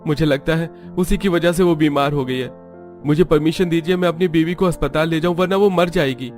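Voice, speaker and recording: A man's voice: fairly high pitch, a little fast, darkish tone, voice pushed up, lightly acted. A man voicing a worried adult son pleading with his mother in a cartoon story. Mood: anxious pleading. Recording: background music.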